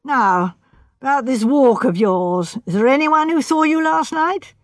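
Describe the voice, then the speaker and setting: A woman's voice: sits high, expressive, measured pace, dry recording, studio quality, pitched above the performer's own voice. A woman voicing a shrewd male police inspector who questions in a cool, matter-of-fact way.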